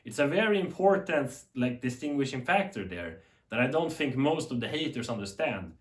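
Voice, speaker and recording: A man's voice: medium pitch, expressive, moderate pace. Young Swedish-accented male tech talker, earnest, rambling, self-correcting, echoey room. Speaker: tech YouTuber. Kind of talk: unscripted talk to camera.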